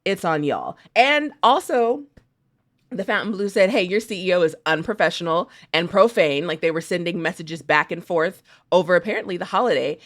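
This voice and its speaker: A woman's voice: on the low side, expressive, a little fast. A woman podcast contributor chatting casually in slang, theatrically acting out what others said. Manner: theatrical, acts out paraphrased quotes. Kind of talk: casual, slangy storytelling chat.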